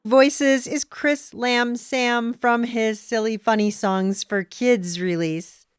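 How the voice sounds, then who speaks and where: A woman's voice: a little high, fairly even intonation, takes it slowly, clean tone, no echo. A woman hosting a children's radio show, enthusiastic and welcoming, on a studio mic. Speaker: radio host.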